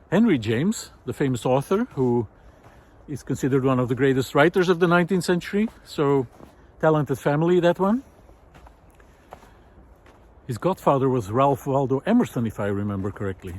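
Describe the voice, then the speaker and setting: A man giving an informal, reflective solo talk in a thoughtful, halting way, pausing deliberately for emphasis. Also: medium pitch, a little mellow. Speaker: host of a personal audio series.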